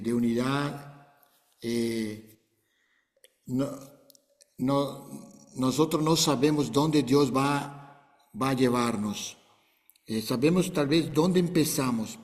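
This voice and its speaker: An older man hosting a video call, speaking Spanish in a gentle, unhurried, pastoral way. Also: mid-range voice, big-room sound. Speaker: host.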